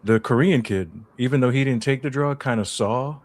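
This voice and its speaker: Laid-back slangy man chatting. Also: mid-range voice. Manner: laid-back slangy banter, drawn-out storytelling. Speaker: podcast co-host. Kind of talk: casual chat.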